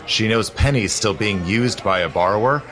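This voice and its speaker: A man's voice: lowish voice, normal speed, a bit bright, natural voice. An actor playing a grave, urgent male protector in an audio drama, earnest and matter-of-fact. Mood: grave explaining.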